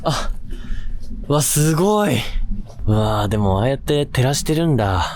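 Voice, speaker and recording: A man's voice: medium pitch, lively intonation, normal speed, darkish tone. A man casually presenting a ghost-spot exploration video outdoors at night, in a joking, conversational way. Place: outdoors at night by a seaside lighthouse.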